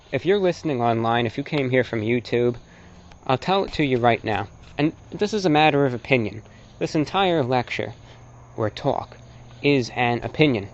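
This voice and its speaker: A man presenting an informal, opinionated lecture as a broadcaster, speaking emphatically and deliberately with rhetorical repetition. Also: medium pitch, dull audio, a little hiss or hum. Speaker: host and presenter, radio broadcaster.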